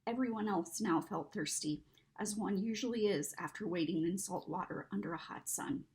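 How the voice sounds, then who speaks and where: A woman reading a children's story aloud in an expressive, dramatic narrating style. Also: medium pitch, moderate pace. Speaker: story reader.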